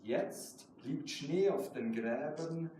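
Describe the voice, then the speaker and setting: A man's voice: a little high, takes it slowly, warm tone. Very echoey, distant man presenting poetry. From Switzerland. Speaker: guest poet presenting his work. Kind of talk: live poetry reading with informal remarks. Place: live event in a reverberant room. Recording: very echoey hall, far mic, noisy.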